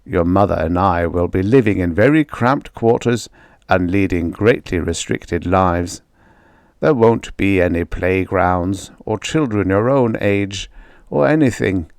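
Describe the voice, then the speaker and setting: A man's voice: deep voice, measured pace, clean tone, no room sound, studio quality. A man voicing a stern, proud middle-aged father in a told story, firm and matter-of-fact.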